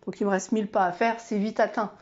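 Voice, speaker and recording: A woman's voice: mid-range voice, steady tone, fast, darkish tone, dull audio. A woman vlogger with a stuffy, nasal voice from a cold, chatting casually and self-mockingly through her monthly challenges with lots of fillers.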